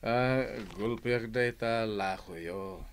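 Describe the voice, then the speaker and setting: A man's voice: fairly low pitch, takes it slowly, clean tone. A man playing a bashful, lovestruck engineer in a radio drama, speaking hesitantly with an Ilocano accent. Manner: shy, hesitant.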